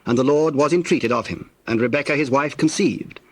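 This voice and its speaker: A man narrating scripture from a script in a measured, formal storytelling manner. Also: medium pitch, no room sound.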